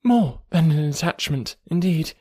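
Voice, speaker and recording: A reader voicing a nervous middle-aged woman with a trembling, hushed voice in an audiobook. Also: mid-range voice, lively intonation, measured pace, husky, voice pushed up. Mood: anxious. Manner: hesitant, hushed, half-whispered. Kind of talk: audiobook character voice.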